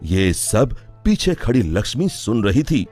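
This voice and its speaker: Slow, animated male story narrator. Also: lowish voice. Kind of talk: dramatic scripted story narration. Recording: music under the voice.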